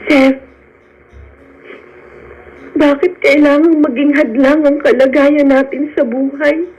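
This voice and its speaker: A woman acting in a Filipino radio melodrama as a gentle, devoted young sweetheart, emotional and pleading. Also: sits high, fairly even intonation, slow pace. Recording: dull lo-fi radio sound.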